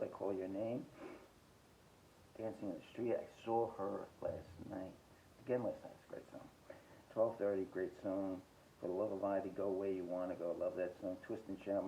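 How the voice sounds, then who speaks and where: Low gravelly man, casual reviewer. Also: mellow, lo-fi sound, slight background noise. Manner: halting, listing titles with blunt short verdicts. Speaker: host of a music collecting podcast. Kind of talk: casual rambling record collection review.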